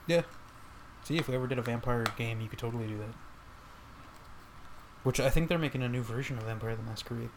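A man's voice: mid-range voice, fast talker, pauses often, background noise. A man co-hosting a casual podcast, joking around and laughing often. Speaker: podcast co-host. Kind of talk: casual chat.

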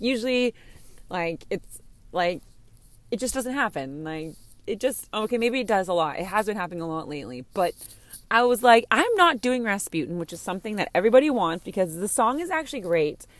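A slightly hoarse woman chatting candidly and casually as a podcast host, rambling and gushing, easily distracted. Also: mid-range voice, no room sound. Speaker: podcast host and game streamer. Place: inside a parked car.

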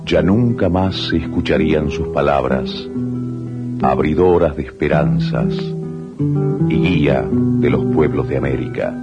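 Very deep, slow, solemn male narrator. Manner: grave, declamatory. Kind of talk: solemn poetic radio drama narration. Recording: dull 1980s radio recording, loud guitar music.

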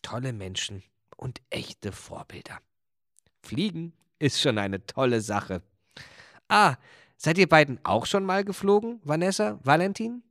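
A man presenting a children's show, narrating with lively enthusiasm. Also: on the high side, unhurried, no echo, clean studio sound.

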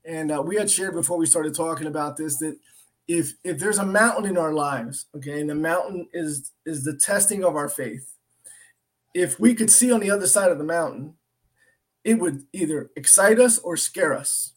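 A middle-aged American man with a fairly high voice gives an informal Bible teaching. He tells stories earnestly and conversationally, often repeating a phrase to drive it home. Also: moderate pace. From Philadelphia area, United States. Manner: earnest, animated storytelling with repeated phrases for emphasis. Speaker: preacher.

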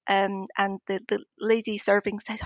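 A woman with an Edinburgh Scottish accent, speaking conversationally as an interview guest in a thoughtful, hesitant, measured way. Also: medium pitch, level tone, no echo. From Scotland, Edinburgh.

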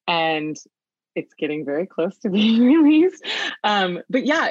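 An earnest woman speaks in a relaxed, conversational way, with frequent fillers and restarts as she gathers her thoughts. Her voice has a gravelly fry to it. The recording is dry and slightly dull, like a home microphone. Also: lowish voice, no echo. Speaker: product team member. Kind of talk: casual conversational interview.

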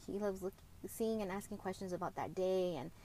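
A woman hosting a podcast, speaking openly and reflectively about co-parenting, often pausing and correcting herself. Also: mid-range voice, warm tone, slight background noise, low-quality recording. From Mexican heritage, grew up speaking Spanish at home. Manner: reflective and open, with frequent fillers and self-corrections. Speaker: podcast host. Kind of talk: candid personal podcast monologue.

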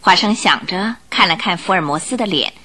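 A woman narrating a radio drama in an expressive, scripted storytelling style. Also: lowish voice, fairly quick, husky.

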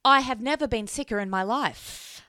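A woman's voice: a little high, normal speed, pushed and bright, no room sound, clean studio sound. A woman, co-hosting a podcast in wry, self-mocking casual chat. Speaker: podcast co-host.

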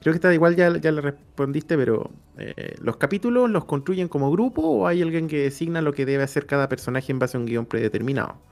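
A man's voice: a little high, expressive, a little fast, few pauses, a little mellow, faint music behind. A Chilean man chatting casually as a podcast host and interviewer. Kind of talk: casual chat.

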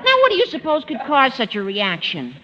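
Squeaky, high-pitched teenage schoolboy on old radio. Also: male voice, huge pitch swings, fairly quick, bright, forceful tone, heavily acted. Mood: chatty. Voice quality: high, cracking adolescent voice. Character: eager, cheeky teenage schoolboy with flowery speech. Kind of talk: 1950s radio sitcom acting. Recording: dull noisy old radio recording, audience.

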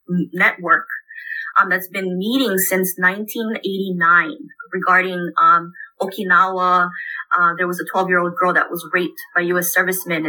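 Bright-voiced woman from Hawaii. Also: on the low side, rough recording. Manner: earnest, thinks aloud with many ums. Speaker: lecturer and guest. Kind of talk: interview answers.

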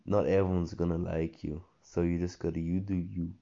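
A young man rambling casually to himself with slangy talk, lots of fillers and restarts, his voice going hoarse. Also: deep voice, level tone, normal speed, dark tone. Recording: dull lo-fi audio.

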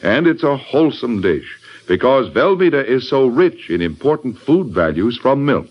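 Animated American radio pitchman, dull recording. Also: normal speed. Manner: friendly, folksy sales pitch. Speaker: radio announcer, sponsor spokesman. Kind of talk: scripted 1940s radio commercial.

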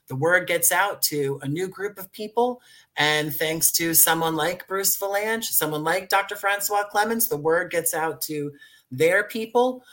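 A man's voice: high voice, a little slow, a bit bright. An American man speaking conversationally as an interview guest, effusive and thankful with playful wording. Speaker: guest, audio drama writer and producer.

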